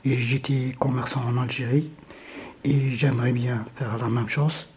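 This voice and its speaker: Very husky man in his forties. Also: medium pitch, level tone, unhurried. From Algeria. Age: 40s.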